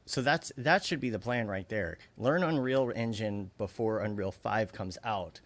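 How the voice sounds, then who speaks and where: A man's voice: lowish voice, a little slow. A man co-hosting a podcast, chatting casually and thinking aloud as he talks. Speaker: podcast co-host. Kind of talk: casual chat.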